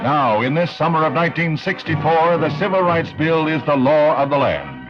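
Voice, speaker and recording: A man's voice: fairly high pitch, sing-song, normal speed. An American man reading scripted 1960s newsreel narration in a formal, declamatory manner. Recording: dull 1960s newsreel soundtrack with music. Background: music.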